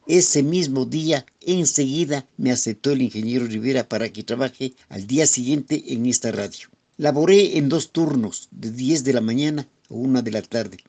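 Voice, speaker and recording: An older-style sound technician tells his memories in a formal, deliberate manner. His voice is mellow and dark, at an ordinary pitch. Each sentence is laid out with care. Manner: formal, measured recollection.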